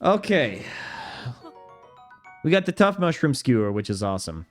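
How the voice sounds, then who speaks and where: Young male streamer, relaxed game commentary, lively pitch, faint music underneath. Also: moderate pace. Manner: chatty, reads chat aloud, laughs easily. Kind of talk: casual gameplay commentary.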